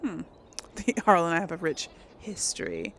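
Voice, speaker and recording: A woman's voice: on the low side, wildly swooping pitch, normal speed, a bit bright, a little hiss or hum. A woman giving casual role-play game commentary, hesitant and thinking aloud as she goes. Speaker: gaming video host.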